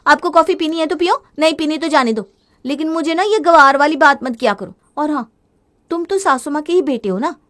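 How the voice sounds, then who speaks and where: Woman acting out a high-voiced headstrong young housewife. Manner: exclamatory, complaining, defensive. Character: headstrong gadget-obsessed young Indian housewife, easily fed up. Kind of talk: dramatized Hindi family story.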